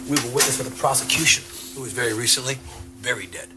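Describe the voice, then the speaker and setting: A man's voice: medium pitch, quick pace, heavy background noise, lightly acted. A man playing a dogged, confrontational police detective in an audio drama, blunt and pressing. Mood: probing. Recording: music under the voice.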